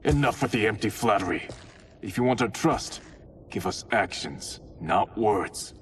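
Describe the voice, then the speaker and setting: A grave, blunt warrior leader with a lowish voice, giving orders in measured tones. The voice sits a little back from the mic over faint noise. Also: male voice, expressive, recorded from a distance. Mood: commanding. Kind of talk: video game cutscene acting.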